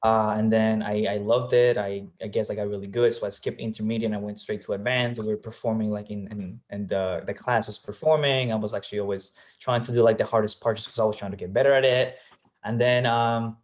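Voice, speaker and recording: Interview guest: young man from Colombia, lowish voice, reflective, lots of ums. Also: fairly even intonation, brisk. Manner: thoughtful, many fillers and restarts. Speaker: guest, real estate agent. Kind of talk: casual interview answers.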